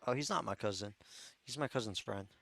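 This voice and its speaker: A man's voice: on the low side, fairly quick, vocal fry, a bit bright, slight background noise. A man chatting on a friend's game stream, tossing off dry, offhand quips. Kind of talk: casual gaming chat.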